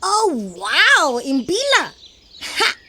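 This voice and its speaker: A woman's voice: very high voice, wildly swooping pitch, extremely slow, pushed and bright, a little hiss or hum, full character voice. A woman playing a vain, bossy, mocking little animal in a comic audio play for children, teasing and theatrical. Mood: mocking, gleeful. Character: bossy, mocking messenger animal, vain know-it-all show-off.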